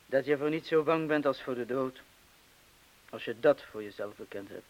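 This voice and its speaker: An actor playing a sceptical, self-deprecating former wartime bomb-disposal man in a radio play, with a measured, understated way of talking. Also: medium pitch, close to an everyday voice, rough recording. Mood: philosophical. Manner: measured, understated, terse replies.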